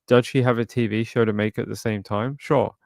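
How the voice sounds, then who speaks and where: Gravelly-voiced man, low and dry. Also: steady tone, a little mellow, no echo. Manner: halting, many fillers and restarts. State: crackly voice. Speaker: true crime livestream host. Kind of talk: casual livestream commentary, reading chat.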